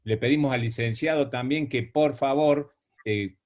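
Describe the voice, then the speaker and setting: A man's voice: mid-range voice, normal speed. A man moderating a panel discussion, speaking politely and often hesitating.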